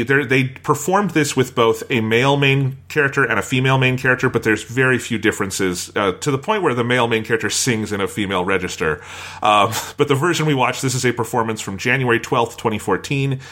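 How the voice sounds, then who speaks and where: A man's voice: medium pitch, fairly quick, fairly bright tone. A man hosting a podcast in a conversational style, introducing the show and guests. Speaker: podcast host.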